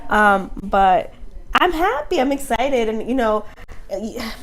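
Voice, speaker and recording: A woman's voice: a little high, sing-song, takes it slowly, fairly bright tone, rough recording. A woman in her twenties, a guest on a casual podcast, enthusiastic and playful as she cheers on her friend. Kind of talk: casual chat.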